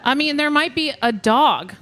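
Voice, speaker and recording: A woman's voice: high-pitched, lively intonation, moderate pace, a bit bright, a faint crowd, a little hiss or hum. A woman casually co-hosting a podcast at a live show. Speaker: podcast co-host. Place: live show before an audience.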